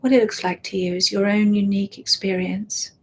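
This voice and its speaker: A woman guiding a meditation, speaking softly and soothingly and often dropping into a whisper. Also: medium pitch, level tone. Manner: slow, soft and soothing, drifting into whispers. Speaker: meditation guide. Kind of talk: guided meditation.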